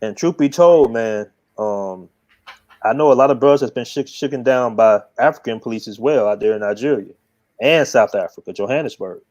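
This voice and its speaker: A man's voice: medium pitch, moderate pace, darkish tone, muffled recording. A man hosting a casual interview show, easygoing and calling his guest brother. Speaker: show host.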